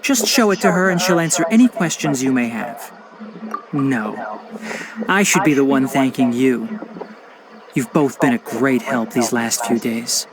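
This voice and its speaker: A man playing an earnest, courteous guardian from an old family in a game, speaking in a formal, measured, articulate way. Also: medium pitch, crowd noise, close to an everyday voice, poor audio. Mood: polite, grateful. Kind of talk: scripted game dialogue.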